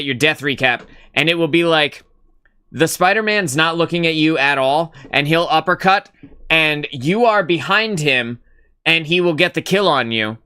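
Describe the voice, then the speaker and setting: A man's voice: fairly high pitch, pushed and bright, smooth. A young man hosting a casual live gaming stream, rambling and swearing casually as he greets chat.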